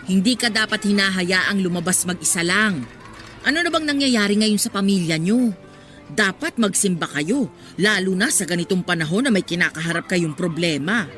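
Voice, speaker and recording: A woman plays a pious, meddlesome older churchgoer in a radio drama. The mid-pitched voice is emphatic and prying, quick to admonish. Light music runs behind the dialogue. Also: animated, a bit bright, lightly acted. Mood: scolding, pious. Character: nosy, pious, scolding older village churchwoman. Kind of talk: Filipino radio drama acting.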